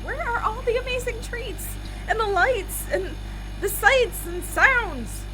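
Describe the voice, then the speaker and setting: An excitable, childlike young woman wanderer played by an actress, with a very high, bright voice. She is impulsive and naive, in a radio drama with noticeable noise behind her. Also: slow, full character voice, low-quality recording. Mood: eager, naive. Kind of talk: audio drama acting.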